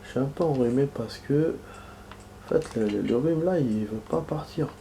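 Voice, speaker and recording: A man's voice: medium pitch, moderate pace, warm tone, slight background noise. Nasal-sounding man with a cold chatting while gaming. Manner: rambling, many fillers and restarts. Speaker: gaming video host. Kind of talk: casual gameplay commentary.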